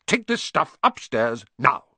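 A male reader plays a furious middle-aged uncle from a storybook. He sneers, barks and sputters, his voice swinging widely in pitch. The recording is dry and close. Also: wildly swooping pitch, unhurried, fairly bright tone, husky, no echo, heavily acted. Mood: angry barking.

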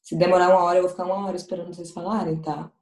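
A woman's voice: on the low side, flat delivery, rapid-fire, darkish tone. A woman giving an interactive online talk over a video call, speaking straight to her audience and picking up their chat replies. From Salvador, Bahia, Brazil. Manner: conversational, addresses audience directly, reads out chat replies. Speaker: guest speaker in an online event. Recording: echoey room.

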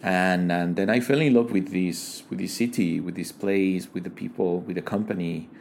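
Man, fairly low voice, halting and reflective. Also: gravelly, a little mellow, slight background noise. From Ecuador. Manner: thoughtful, halting, many fillers and restarts. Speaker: guest, digital design leader. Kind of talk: casual interview conversation.

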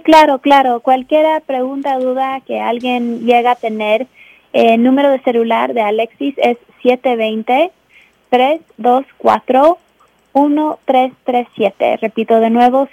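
Female guest, high-pitched, giving listeners information, slightly dull lo-fi audio with little room sound. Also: fairly even intonation, slow pace, dry recording. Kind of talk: spoken announcement to listeners.

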